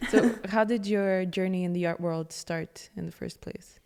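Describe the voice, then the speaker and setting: A woman conducts the interview, friendly and quick to laugh. Her voice is low and smooth. A long reverb trails behind it. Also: fairly even intonation, a little mellow. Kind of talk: interview questions.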